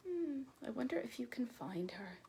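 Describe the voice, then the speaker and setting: Casting: woman, forties, slightly high voice, expressive storytime reader for small children. Home-video sound with some noise. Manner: expressive, deliberate phrasing to children. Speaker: children's librarian hosting storytime. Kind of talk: read-aloud storytime for young children. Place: small room at home or office, by window. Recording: clearly noisy home video with clicks.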